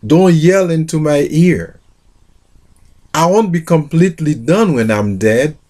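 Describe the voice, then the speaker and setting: A middle-aged man who introduces a poetry collection and reads a poem aloud, speaking haltingly with many fillers. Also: fairly high pitch, expressive, a little mellow. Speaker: literature teacher.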